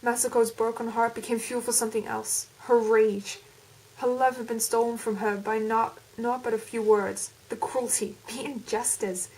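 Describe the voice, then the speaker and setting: A woman's voice: on the high side, little pitch movement, moderate pace, a little mellow, lightly acted, rough recording. A woman putting on an American accent as an intense, melodramatic storyteller with dramatic, emphatic delivery. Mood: anguished, vengeful. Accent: put-on American.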